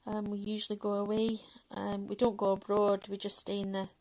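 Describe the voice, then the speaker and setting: A woman's voice: mid-range voice, monotone, brisk, darkish tone, poor audio. Chatty Mancunian woman with a Scottish lilt. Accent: Mancunian English with a Scottish lilt. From England, Manchester. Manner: chatty, rambling, many fillers and restarts. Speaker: livestream host. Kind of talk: casual chat while colouring.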